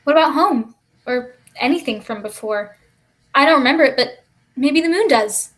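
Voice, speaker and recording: A woman's voice: high voice, animated, normal speed, a bit bright. A woman playing a needy, defiant, restless child in a staged play reading. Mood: curious, eager.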